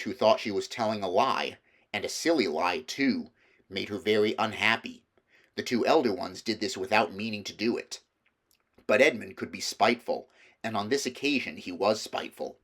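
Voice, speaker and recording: A man's voice: lowish voice, a bit bright. A man reading a children's novel aloud as scripted storybook narration. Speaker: audiobook reader.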